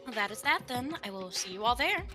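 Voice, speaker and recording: A woman chatting casually and reading game lines aloud in an animated way. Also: fairly high pitch, fairly quick, over music. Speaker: gaming video participant.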